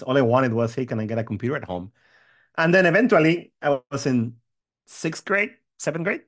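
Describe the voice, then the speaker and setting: A man, chatting as a guest, friendly and rambling. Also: mid-range voice. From Mexico. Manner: friendly, rambling, many fillers and restarts. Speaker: guest, tech company founder. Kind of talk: interview chat.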